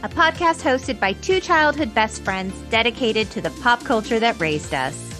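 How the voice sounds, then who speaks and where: A woman's voice: on the high side, animated, moderate pace, over music. A woman in her forties co-hosting a podcast with informal, emphatic, chatty delivery. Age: 40s. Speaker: podcast co-host. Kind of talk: casual chat with a friend, some scripted intro.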